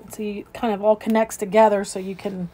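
A woman's voice: mid-range voice, little pitch movement, a little fast, dark tone. A woman real estate agent narrating an informal, unscripted walkthrough of a home, describing each room as she moves through it. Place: indoors, moving through rooms of a house.

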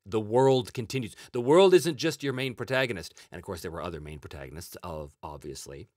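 A man's voice: on the low side, brisk, no echo. Canadian guy in his 40s rambling thru viewer questions, easygoing, dry close sound. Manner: rambling, chuckling, relaxed. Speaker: host answering viewer questions. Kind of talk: casual chat. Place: small room at home. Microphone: headset-style, webcam framing.

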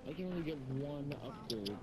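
A man's voice: fairly high pitch, lively intonation, fairly quick, heavy background noise. A man chatting casually off to the side, giving offhand, dry advice about the game. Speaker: friend giving gameplay advice. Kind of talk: casual chat.

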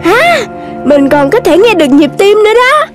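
A performer voicing an earnest, cautious young animal child in a children's cartoon, expressive and a little scolding, with a Southern Vietnamese accent. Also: extremely high pitch, moderate pace, over loud music. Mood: thoughtful.

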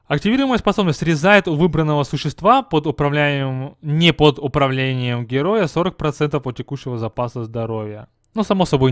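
A man's voice: on the high side, no room sound, studio quality. A young man reviewing a game patch in casual commentary, joking and firing rhetorical questions at the viewer. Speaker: gaming video host.

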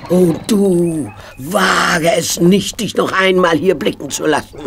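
An actress playing an indignant, scolding housewife in a children's audio drama, theatrical and emphatic. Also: female voice, fairly low pitch, slow, a little hiss or hum. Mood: furious.

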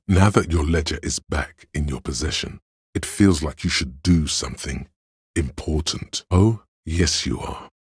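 A man voicing a detective's coolly analytical inner voice of logic in a video game, gravelly and husky, with measured, deliberate delivery. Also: a bass voice, dry recording, clean studio sound, heavily acted. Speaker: game voice actor. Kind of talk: scripted video game narration.

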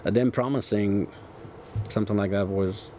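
A man's voice: on the low side, fairly even intonation, moderate pace, warm tone, slight background noise. A man in his fifties from Romania with a Romanian accent, a guest in a studio interview, explaining at length in an earnest way with many fillers. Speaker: guest, author's son. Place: dark TV studio set, indoors.

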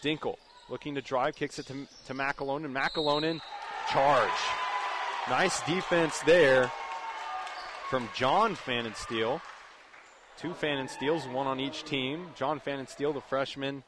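A man's voice: medium pitch, fairly even intonation, unhurried, a crowd in the background. A young man doing live radio play-by-play commentary of a basketball game as the announcer. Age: young adult. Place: indoor high school basketball gym. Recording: clear gym noise behind commentary. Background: game noise, bouncing basketball.

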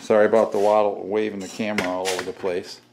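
A man's voice: lowish voice, flat delivery, fast. Middle aged guy muttering thru a diy job, folksy, roomy garage sound w some clatter. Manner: folksy, self-deprecating, muttering to himself and the viewer. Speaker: DIY workshop host. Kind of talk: casual hands-on build narration, thinking aloud. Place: indoor garage workshop. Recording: echoey workshop, clattering tool noise.

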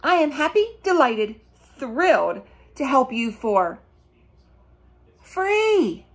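A woman's voice: high voice, big pitch swings, slow, slightly rough, pitched above the performer's own voice, heavily acted. A woman voicing an eager, sweet-talking, obliging young boy in a children's storybook, in an earnest, gushing manner. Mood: delighted, eager. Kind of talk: children's storybook read-aloud.

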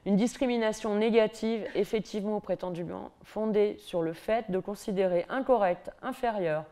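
Middle-aged Parisian-accented woman lecturer; lively, ironic delivery at a lectern. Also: mid-range voice, moderate pace. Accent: Parisian French. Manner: lively, ironic, mimics attitudes with asides. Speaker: university lecturer. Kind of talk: public university lecture. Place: large lecture hall, at a lectern on stage.

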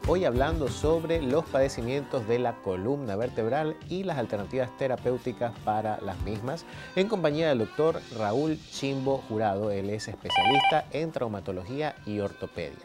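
A man's voice: medium pitch, animated, moderate pace, hardly any pauses, music in the background. A man in his forties hosting a TV health show in a studio, courteous and a little hesitant, on a lapel mic. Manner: courteous, hesitant, many fillers. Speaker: TV host.

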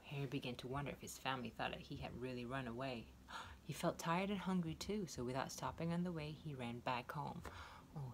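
Young female storyteller for a children's picture book, a bit low-pitched, expressive and playful, noisy indoor phone recording. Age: young adult. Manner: playful, expressive, exclaiming and questioning. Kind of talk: animated read-aloud storytelling for young children, with asides. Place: indoors, wood-ceilinged room.

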